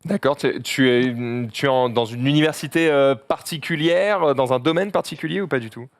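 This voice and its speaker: A man's voice: a little high, a little fast, no room sound. Dry-sounding male stream host asking questions. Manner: questioning, playful, stumbling on words. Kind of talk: casual interview.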